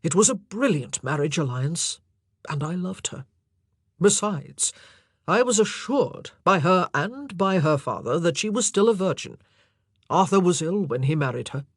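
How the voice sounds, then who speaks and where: A narrator voicing an anguished, impassioned and forceful male monarch in an audiobook drama. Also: mid-range voice, animated, unhurried, husky, no echo, clean studio sound. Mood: insistent.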